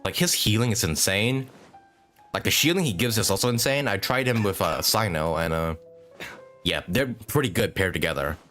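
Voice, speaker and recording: A man giving casual, halting and rambling live commentary while playing a video game. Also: lowish voice, animated, fairly bright tone. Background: video game music.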